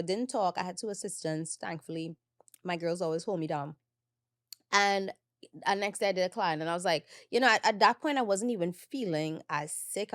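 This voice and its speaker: A woman's voice: medium pitch, dry recording. Chatty woman podcast host, dry sound. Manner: chatty and animated, laughing often. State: slightly hoarse. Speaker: podcast host, makeup artist. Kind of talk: casual solo podcast chat.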